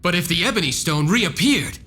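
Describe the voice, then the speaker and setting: A man's voice: high-pitched, expressive, a little fast, sharp tone, faint music behind. A man playing an earnest young vampire-hunting hero in a video game cutscene, with a dramatic delivery. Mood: questioning.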